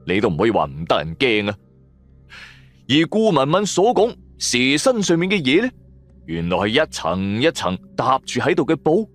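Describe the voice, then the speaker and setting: Male storyteller, highly animated suspense narration for a supernatural audio drama, music underneath. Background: background music.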